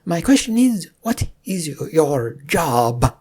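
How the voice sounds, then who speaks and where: A woman voicing a pompous, bossy troll leader in a fantasy story, with loud, commanding, drawn-out questioning. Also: sits low, very animated, slightly rough, pitched above the performer's own voice, exaggerated voice. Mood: officious.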